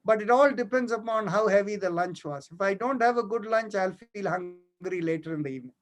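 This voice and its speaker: A man's voice: high voice, brisk. Guy teaching yoga on a video call, lots of uh and like, stops and restarts, just chatting. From India. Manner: hesitant, full of fillers and restarts. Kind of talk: casual Q&A on an online class.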